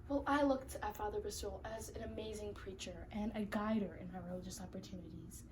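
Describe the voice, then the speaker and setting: A woman playing a devout religious sister from eighteenth-century France as an interview guest, speaking earnestly and a little haltingly in an amateur role-play. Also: mid-range voice, mellow, lightly acted. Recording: roomy, distant mic with background noise.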